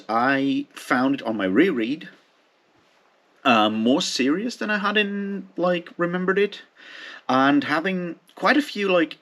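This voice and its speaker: A man's voice: mid-range voice, a bit bright. A man giving a casual, unscripted book review as a host, speaking informally in rambling, hesitant phrases.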